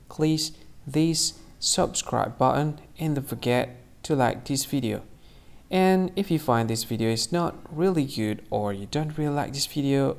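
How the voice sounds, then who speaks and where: Male online video host, casual explainer delivery, simple repetitive phrasing, noticeable vocal fry. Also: mid-range voice. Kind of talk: casual explainer to camera or screen.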